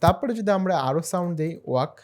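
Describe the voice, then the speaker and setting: A man narrating a screen-share software tutorial in a step-by-step, explanatory way. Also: high voice, fast. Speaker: tutorial host.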